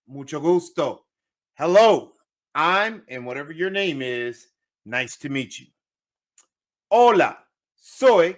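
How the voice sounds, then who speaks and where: A young man teaching a language lesson, repeating phrases slowly and switching between two languages in an animated way. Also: a little high. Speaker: Spanish teacher.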